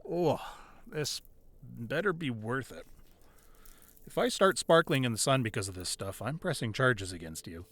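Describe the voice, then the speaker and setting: A man playing a tough gang leader boyfriend who is teasing and tender in an intimate ASMR roleplay, with playful grumbling and soft, hesitant asides. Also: mid-range voice, animated, normal speed. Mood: teasing, affectionate. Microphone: close microphone, dry.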